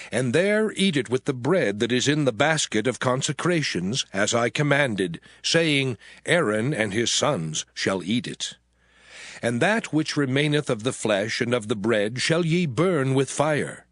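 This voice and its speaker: A man's voice: medium pitch, expressive, measured pace, a bit bright, dry recording, clean studio sound. A man playing a solemn, commanding prophet who declaims instructions to priests in a dramatized scripture reading.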